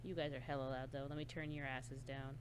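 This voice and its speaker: A woman's voice: very deep voice, flat delivery, fairly quick. A woman chatting casually as a streamer on a headset mic, with a giggly manner and crude, joking banter. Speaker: streamer, co-host. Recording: faint background noise.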